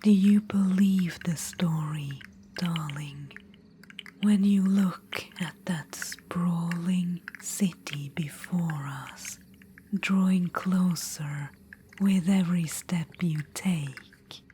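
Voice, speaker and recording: A woman voicing a possessive, menacing undead witch-queen in an ASMR roleplay, whispering softly and slowly throughout. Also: fairly low pitch, a little hiss or hum. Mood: coaxing, menacing. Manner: soft whisper throughout, slow and intimate.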